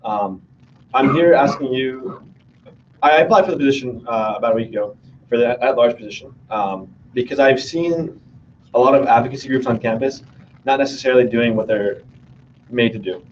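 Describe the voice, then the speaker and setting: A young man from New Jersey, a student senate candidate, giving an earnest, measured speech to a meeting with a few hesitations. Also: mid-range voice. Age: young adult. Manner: earnest, measured, slightly halting. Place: meeting room. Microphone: room mic from afar. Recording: distant, dull, faint hiss.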